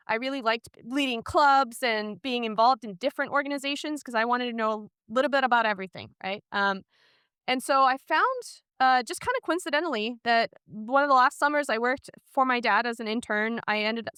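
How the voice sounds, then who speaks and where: A woman's voice: fairly high pitch, fairly bright tone, smooth, no echo, studio quality. A woman from Iceland speaking as a podcast guest, telling her career story in a candid, chatty way.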